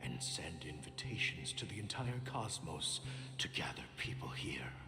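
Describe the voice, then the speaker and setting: An actor playing a kindly, weary old watchmaker in a video game, speaking in a reflective, measured, wistful way. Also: male voice, very deep voice, sing-song, in a whisper, full character voice. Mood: resolute. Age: older. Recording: loud game music behind.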